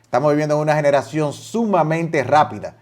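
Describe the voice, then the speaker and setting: A man's voice: a little high, a little fast, a little mellow. Enthusiastic male talk show host. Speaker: program host. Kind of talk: studio talk show hosting.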